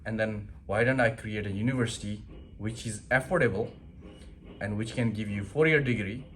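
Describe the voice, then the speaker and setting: A man's voice: lowish voice, moderate pace, a little hiss or hum. A man from Nepal with a Nepali accent, welcoming listeners to his university in an earnest, plain-spoken way with many fillers. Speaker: university founder. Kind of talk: promotional welcome talk.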